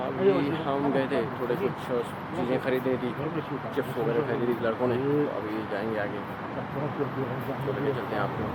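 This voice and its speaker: A man vlogging with friends on a city street at night, talking casually and haltingly with many fillers, switching languages as he goes. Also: mid-range voice, little pitch movement. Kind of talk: casual street vlog chat. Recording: loud street traffic behind.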